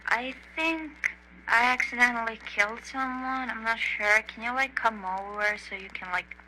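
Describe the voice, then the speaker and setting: A woman voicing an aimless, awkward, foul-mouthed young man in an indie animated horror, speaking in a hesitant, deadpan mumble. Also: fairly high pitch, a little slow, natural voice. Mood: annoyed, uneasy. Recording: faint hum and hiss.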